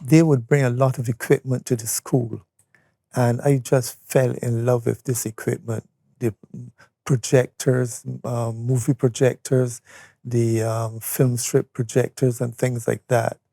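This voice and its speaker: Barbadian man, seventies, creaky mellow voice, close dry studio sound, unhurried reminiscence. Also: mid-range voice, flat delivery, dry recording. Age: 70s. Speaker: interview guest, retired or veteran educator. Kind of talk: conversational reminiscence.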